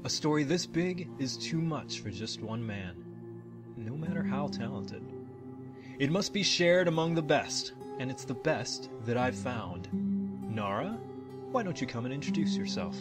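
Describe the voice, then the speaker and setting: A man playing a genial, grandiose troupe leader, narrating a fantasy audio drama in a measured, showman-like way. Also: medium pitch, animated, lightly acted. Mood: grand welcome. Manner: measured, showman-like, formal phrasing. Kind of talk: dramatic fantasy storytelling to an audience. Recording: music under the voice. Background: background music.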